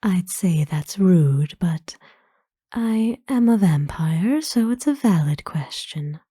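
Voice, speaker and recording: A woman playing a kind, courtly, centuries-old vampire in an ASMR roleplay, speaking tenderly and formally in a breathy whisper. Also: lowish voice, lively intonation, mellow, dry recording, studio quality, exaggerated voice. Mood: curious, soft. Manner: formal, slow, tender, hesitant pauses. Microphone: close dry microphone.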